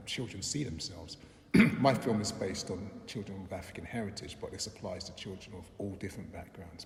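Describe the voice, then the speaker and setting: Middle-aged man, low-pitched, thoughtful interview answers, recorded in a reverberant hall. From London, England. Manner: thoughtful, measured, frequent "um" and restarts. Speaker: interviewee, filmmaker and lecturer. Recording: roomy echo with long reverb, faint background noise.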